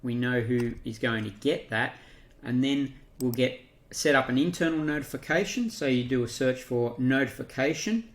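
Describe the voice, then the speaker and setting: An Australian man giving an unscripted software walkthrough, talking through each step haltingly as he thinks aloud. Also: medium pitch. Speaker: software tutorial presenter. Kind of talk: unscripted screen-share walkthrough.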